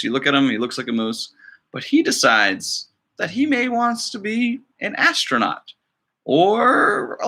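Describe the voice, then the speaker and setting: A man's voice: fairly high pitch, gravelly, pushed and bright. A man, a school librarian, talking casually and encouragingly to young children as he introduces a story.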